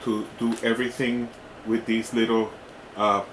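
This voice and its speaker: Guy doing a casual unboxing review, lowish voice, stop and start, roomy echo. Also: level tone. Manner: halting, often checking in with "right?". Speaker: product reviewer. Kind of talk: casual hands-on unboxing demonstration. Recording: roomy echo with clear background noise.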